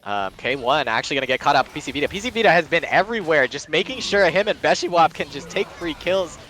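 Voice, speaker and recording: A man's voice: fairly high pitch, expressive, fast talker, a bit bright. A man giving live play-by-play commentary on a video game match, mixed with casual chat.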